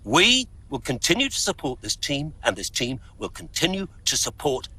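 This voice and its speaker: An actor playing a defiant, curt male team boss in a game cutscene, husky and emphatic. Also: medium pitch, huge pitch swings, normal speed, pushed and bright, no room sound, exaggerated voice. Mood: defiant, firm. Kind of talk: dramatic video game cutscene acting.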